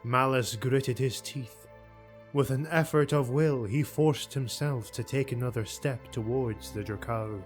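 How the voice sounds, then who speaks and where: A man narrating dark fantasy prose as an audiobook reader, measured and dramatic. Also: medium pitch, faint music behind.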